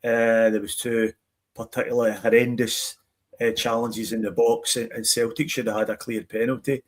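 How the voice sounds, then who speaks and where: A man on a football podcast, arguing his point in a measured way. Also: mid-range voice, little pitch movement. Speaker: podcast panelist. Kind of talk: casual football chat.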